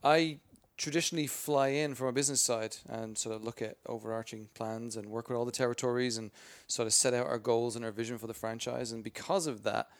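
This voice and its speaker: A man with a booming voice answering interview questions as a spokesperson, energetic and rambling with many restarts. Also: brisk, hardly any pauses. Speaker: game franchise spokesperson.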